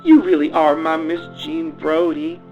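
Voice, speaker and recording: A man's voice: on the high side, steady tone, measured pace, darkish tone, dull audio, soft background music. A man playing a vain, melodramatic young Southern pageant queen with a theatrical, gushing delivery in a comic radio play. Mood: sentimental. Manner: theatrical, gushing, shrieking when upset.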